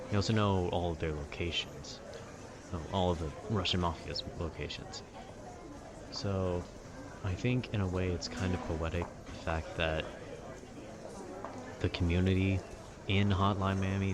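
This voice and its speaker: A young man with a very low voice rambles casually in a calm, wry way. Clearly audible urban ambience sits behind his informal monologue. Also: noisy background. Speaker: video creator. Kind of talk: casual rambling monologue about video games.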